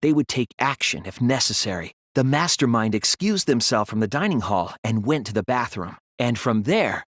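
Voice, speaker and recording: A sensitive teenage boy detective in a dramatic game dub. His mid-pitched voice moves widely and expressively, earnest with an anxious edge. The sound is dry and studio-clean. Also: big pitch swings, fairly bright tone, no echo. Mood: grave, deductive. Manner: earnest, measured explaining that turns to strained pleading. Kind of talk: dramatic English video game dub acting.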